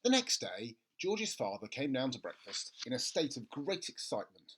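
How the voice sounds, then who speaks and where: A man reading a children's story aloud as an expressive narrator. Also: medium pitch, normal speed, slight background noise, low-quality recording. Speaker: storybook reader.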